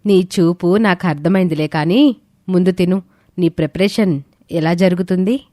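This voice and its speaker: Woman as caring teacher in a story, reassuring, lowish voice, very dry sound. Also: normal speed, warm tone, no echo, natural voice. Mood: encouraging. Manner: kindly, reassuring. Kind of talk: dramatised moral story acting.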